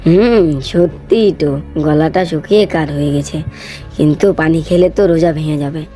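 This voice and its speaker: A woman's voice: low-pitched, moderate pace, warm tone, close to an everyday voice. A woman playing a wavering but sincere young boy in a moral cartoon, speaking plainly. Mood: weary, doubtful. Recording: faint background music.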